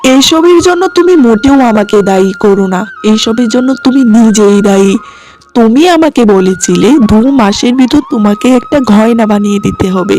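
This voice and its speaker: A woman voicing a selfish, sarcastic younger brother in a cartoon moral story, quick to blame others. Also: on the high side, lively intonation, darkish tone, soft background music, voice pushed down, natural voice. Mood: blaming, bitter. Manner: sarcastic, blaming. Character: selfish, defiant younger brother, greedy salaried man.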